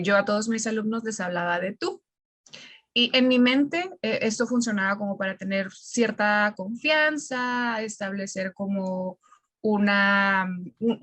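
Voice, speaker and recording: A woman with a Mexican accent, a language teacher explaining things reflectively in a conversational interview, pausing as she searches for words. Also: medium pitch, fairly bright tone, dry recording.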